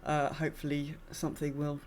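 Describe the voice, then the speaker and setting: A woman co-hosting a podcast in casual chat, talking in a halting, self-deprecating way. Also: low-pitched, monotone, a little mellow, slight background noise. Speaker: podcast co-host.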